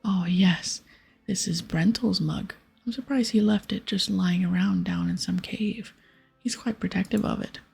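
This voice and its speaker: A friendly, gossipy tavern innkeeper from a fantasy game, voiced by a woman. The voice is very breathy and slightly creaky, often half-whispered. The manner is chatty and earnest. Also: mid-range voice, a little slow, darkish tone, soft background music, exaggerated voice.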